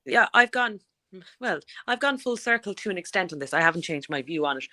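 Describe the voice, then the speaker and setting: A woman's voice: fairly low pitch, expressive, fairly quick, bright, forceful tone. A woman on a sports panel giving her opinions emphatically, arguing at length in long run-on sentences.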